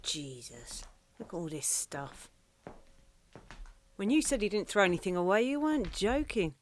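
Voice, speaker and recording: A woman's voice: mid-range voice, normal speed, a little hiss or hum, lightly acted. An actress playing an inquisitive, plain-spoken British woman exploring, in a naturalistic radio play. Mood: amazed. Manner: inquisitive, expressive. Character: curious, plain-spoken British woman inspecting a cluttered house.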